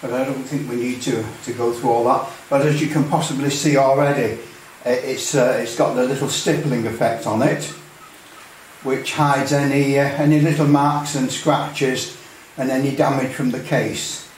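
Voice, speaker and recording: A very reverberant recording, made some way from the microphone with faint noise, of a man talking through a hobby demonstration. His voice sits at an average male pitch. He speaks informally and hesitantly, working out his explanation as he goes. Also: steady tone. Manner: halting, unscripted delivery with many hesitations. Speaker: hobbyist presenter demonstrating restoration. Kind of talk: informal how-to narration. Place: hard-surfaced workshop indoors. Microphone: distant camera microphone. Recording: very echoey, distant, noisy room sound.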